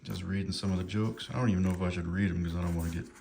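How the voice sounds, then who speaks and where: Deep gravelly middle-aged man, rambling casually. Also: steady tone, rapid-fire, mellow. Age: 50s. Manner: amused, chuckling, hesitant and apologetic. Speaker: vlogger showing books from a collection. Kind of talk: casual rambling chat to viewers. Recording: noticeable background noise.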